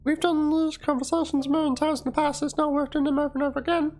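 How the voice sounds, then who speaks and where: A woman with an extremely high-pitched voice reads out written chat replies in a dramatic reading. Faint instrumental music runs behind her. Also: flat delivery, fast, darkish tone. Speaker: reader of one side of a chat exchange. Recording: music under the voice. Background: instrumental background music.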